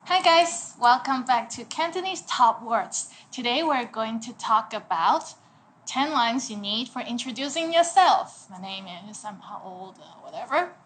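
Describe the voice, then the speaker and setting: A young woman, teaching a casual language lesson in a playful, jokey way, her loudness swinging up and down. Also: on the high side, animated, a little slow. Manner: playful, jokey, swings between loud and quiet.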